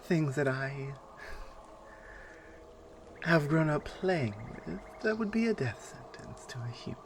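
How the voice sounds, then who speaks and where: Slow, haughty Amazonian warrior acted by a man. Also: a little high, background noise. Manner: taunting, deliberate, commanding, with long pauses. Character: haughty, menacing Amazonian warrior captor, not human. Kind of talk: ASMR roleplay audio drama.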